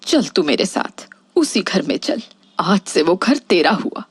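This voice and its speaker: Woman doing an old cartoon mom, super breathy husky, hurt and blunt, dry close sound. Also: lowish voice, sing-song, a little slow, no room sound, full character voice. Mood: caring. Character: hurt, plain-spoken elderly village mother. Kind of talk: Hindi cartoon moral-story voice acting.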